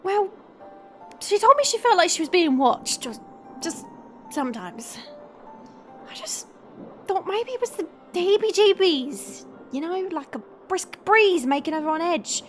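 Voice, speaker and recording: A woman's voice: extremely high pitch, sing-song, measured pace, a bit bright, faint music behind, full character voice. A woman playing a cheeky, chatty young monster girl in an audio roleplay, playful, teasing and theatrical. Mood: explaining, subdued.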